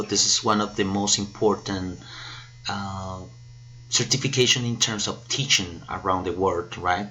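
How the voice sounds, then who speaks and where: A Colombian man in his thirties with a bright, forceful voice introduces himself as a teacher. He speaks slowly and explains things with some hesitation. His Spanish is his first language, and it shows in his accent. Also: fairly low pitch. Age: 30s. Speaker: English teacher. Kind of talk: self-introduction presentation to camera.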